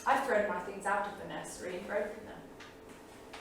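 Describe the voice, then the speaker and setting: An actress playing a restless, flirtatious 1930s bohemian wife in a theatrical staged reading, gushing and affected, with a brittle edge. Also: female voice, mid-range voice, moderate pace, close to an everyday voice. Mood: wistful, confiding. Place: small theatre studio, indoors. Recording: echoey theatre studio from far off, faint noise.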